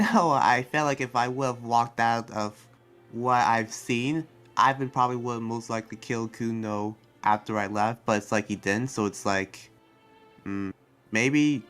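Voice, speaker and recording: A man's voice: medium pitch, a bit bright, natural voice. Hesitant, earnest young-hearted demon researcher played by a man, music behind. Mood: reflective, relieved. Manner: halting, hesitant, rambling. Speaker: roleplay player. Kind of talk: improvised anime Minecraft roleplay, conversational. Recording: background music under the voice.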